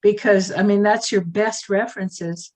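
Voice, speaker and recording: A woman co-hosting a video call, giving practical advice while losing her voice and clearing her throat. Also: mid-range voice, fairly even intonation, measured pace, a little mellow. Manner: practical, many fillers. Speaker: expert co-host.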